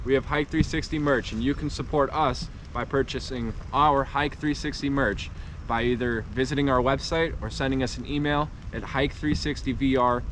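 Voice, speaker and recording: A man's voice: medium pitch, steady tone, fairly bright tone, a little hiss or hum, poor audio. A young man co-hosting a hiking vlog, outdoors in bare woods, reading facts aloud and stumbling over hard words. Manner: reads haltingly, stumbles on words, laughs often. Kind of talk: casual chat, reading facts aloud from a phone. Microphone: 360 camera on a handheld stick, a few steps off.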